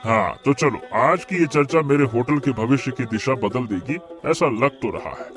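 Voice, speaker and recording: An actor playing an earnest, grateful village eatery owner in a Hindi cartoon story, with drawn-out interjections. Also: male voice, mid-range voice, natural voice. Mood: grateful, hopeful. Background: background music.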